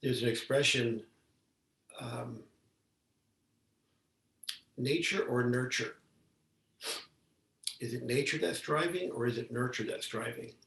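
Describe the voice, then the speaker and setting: A man giving a measured Zen dharma talk as a teacher, speaking haltingly and deliberately with long pauses. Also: medium pitch, a bit bright. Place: indoor room, talk given over video call. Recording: roomy, echoey, distant microphone on video call.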